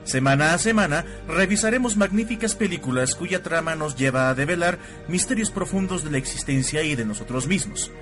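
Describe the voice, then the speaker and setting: A man's voice: a little high, lively intonation, brisk, few pauses. A male radio announcer formally introducing a segment from a script, over background music.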